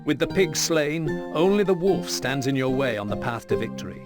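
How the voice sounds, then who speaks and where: A man giving formal, measured, serious scripted narration for a medieval war game briefing. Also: mid-range voice, very animated, music in the background, lightly acted.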